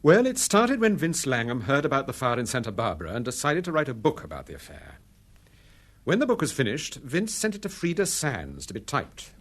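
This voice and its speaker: An actor playing a calm, authoritative amateur detective in a radio drama, assured and precise as he explains. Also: mid-range voice, fairly quick. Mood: measured, explaining.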